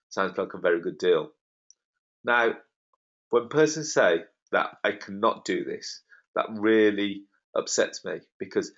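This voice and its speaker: A slightly croaky man pitches deals to a webinar audience with confident, salesy emphasis. His voice sits fairly low. The call audio is dull and lo-fi. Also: a bit bright. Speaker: webinar host. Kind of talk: online property webinar talk.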